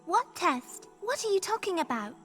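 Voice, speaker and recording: Tiny high-pitched, impatient girl companion. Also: sing-song, normal speed, a bit bright, soft background music, full character voice. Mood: puzzled. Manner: inquisitive, bossy, urgent. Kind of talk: fantasy game voice acting.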